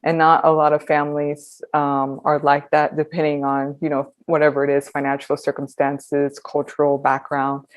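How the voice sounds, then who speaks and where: A woman in her twenties, a podcast guest talking reflectively, pausing often with ums. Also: low voice, monotone. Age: 20s. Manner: reflective, hesitant with frequent ums. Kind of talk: conversational interview.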